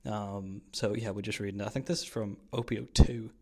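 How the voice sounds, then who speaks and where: Lower-pitched man casually commentating card gameplay. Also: fairly even intonation, brisk, mellow. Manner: informal, slangy, explanatory. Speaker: card game player commentating his own match.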